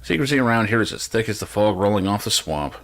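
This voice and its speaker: A man's voice: lowish voice, animated, fast, fairly bright tone, faint music behind. A man doing casual gameplay commentary and reading quest text aloud, with dry, muttered, sweary asides. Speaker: game streamer.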